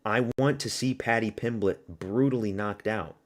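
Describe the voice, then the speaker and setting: Southern-raised man, low-ish voice, casual profane stream host chatting with chat. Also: normal speed. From American South. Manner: loose and profane, chatting with viewers. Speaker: streamer hosting a watch party. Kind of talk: casual live-stream commentary.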